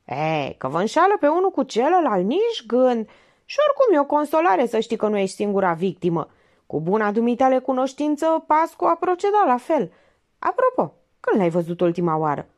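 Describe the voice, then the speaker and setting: A woman voicing a sharp, sarcastic older female amateur detective who needles a suspect with pointed, mocking remarks. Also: on the high side, sing-song, voice pushed up.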